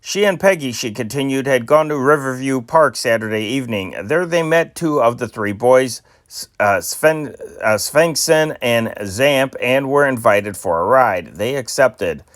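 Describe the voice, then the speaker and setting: A man reading old newspaper items aloud at an ordinary middle pitch, in a plain, non-professional delivery. He trips over unfamiliar names now and then before pressing on. His voice is close and dry, with no room sound around it. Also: animated, unhurried, no echo. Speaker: newspaper reader.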